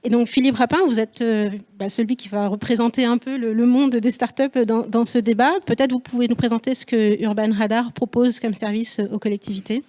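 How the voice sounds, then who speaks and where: A woman with a fairly high, mellow voice moderates a panel, handing the floor to each guest. She is polite and fills pauses with many hesitations. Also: fairly even intonation. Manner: polite, filler-heavy introductions.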